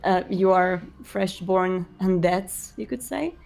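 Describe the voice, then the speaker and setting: A woman playing a polite, welcoming male vampire prince in a tabletop roleplay, speaking in a formal, measured way. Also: fairly low pitch, vocal fry, a little mellow. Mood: gracious, formal.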